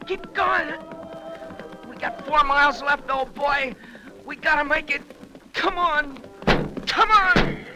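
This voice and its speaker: A man's voice: very high voice, takes it slowly, pauses often, poor audio. An actor playing a determined, weary teenage boy rider in an old Western radio drama. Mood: urgent, shouting. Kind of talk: 1930s radio drama acting. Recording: old radio broadcast with music.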